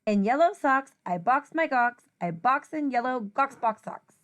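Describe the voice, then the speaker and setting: Woman, high voice, teacher read-aloud, expressive, sing-song rhyming storybook delivery. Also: measured pace. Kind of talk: children's picture book read-aloud.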